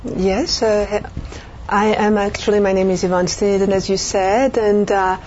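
A woman's voice: medium pitch, little pitch movement, a little slow. Woman, lots of uhs, kinda muffled recording, faint hiss. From Belgium. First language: French. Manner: hesitant, many "uh" fillers, soft trailing off. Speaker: interview guest. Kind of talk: recorded interview.

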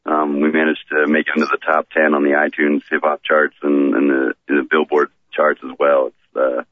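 A man with a remarkably deep voice speaks as a radio guest, easing through his answers with fillers and restarts. The sound comes down a dry, tinny phone line. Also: dry recording. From Florida, raised in rural Maryland. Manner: relaxed, unhurried, with many fillers and restarts. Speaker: touring musician guest.

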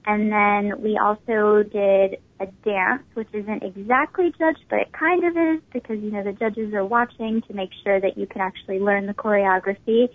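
A woman's voice: mid-range voice, fairly even intonation, normal speed, hardly any pauses. Tiny-voiced young woman on a phone line. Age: 20s. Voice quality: small, tiny voice. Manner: polite, upbeat, many ums. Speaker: pageant winner guest. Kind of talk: phone interview.

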